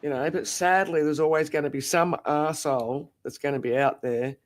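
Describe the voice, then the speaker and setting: A man's voice: fairly high pitch, fairly even intonation, a little fast. A man chatting casually and reassuringly on a late-night stream, swearing now and then.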